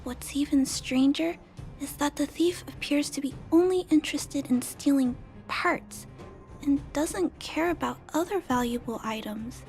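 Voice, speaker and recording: A woman voicing a gentle, earnest little girl in a video game, worried and polite, with soft, careful speech. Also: sits high, unhurried, creaky, soft background music.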